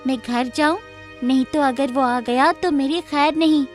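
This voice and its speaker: High-voiced worried friend acted by a woman. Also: fairly even intonation, measured pace. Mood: urgent, caring. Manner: urgent, advising. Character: worried, caring female friend of the heroine. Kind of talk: children's animated storytelling. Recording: music playing behind the voice.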